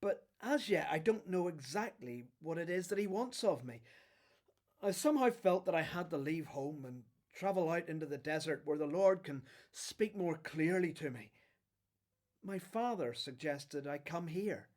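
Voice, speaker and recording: A man's voice: fairly high pitch, lively intonation, moderate pace, darkish tone, close to an everyday voice. A young man playing an earnest, polite, questioning young prophet in a religious radio drama. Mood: earnest, respectful. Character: young John the Baptist, earnest, searching, polite newcomer.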